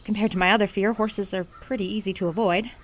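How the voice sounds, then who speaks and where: An actress playing a timid, folksy young waitress, speaking in nervous, hesitant rural turns of phrase. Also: female voice, mid-range voice, fairly even intonation, quick pace, natural voice. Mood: fretful. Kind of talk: radio drama acting.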